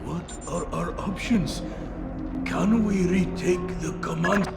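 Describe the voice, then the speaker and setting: Anguished, gravelly Japanese statesman. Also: high voice, slow, over loud music, full character voice. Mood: shocked. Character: anguished, helpless male Japanese government leader. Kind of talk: dramatic video game acting.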